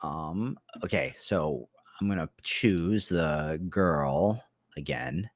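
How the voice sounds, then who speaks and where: Casual male streamer, deep voice, excitable, restarts a lot; dry sound. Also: slow pace, no room sound. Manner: chatty, excitable, repeats himself. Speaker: livestream host. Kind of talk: casual livestream talk.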